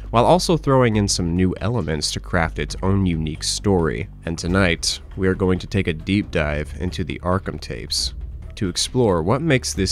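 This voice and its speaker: Deep-voiced male video essay narrator. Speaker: host, horror series reviewer.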